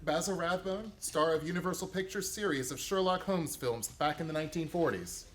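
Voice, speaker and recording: A man's voice: a little high, fairly quick, a bit bright, a little hiss or hum. A man in scripted comic banter on a theater stage, correcting his partner in a pedantic, exasperated way. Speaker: actor in comic banter with the host.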